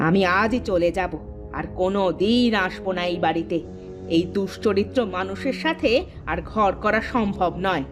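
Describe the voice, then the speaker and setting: A woman's voice: medium pitch, normal speed, over music, lightly acted. A woman playing a quarrelsome, suspicious village housewife in a cartoon story, scolding and wailing theatrically. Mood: wronged, accusing. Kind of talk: Bengali cartoon story acting.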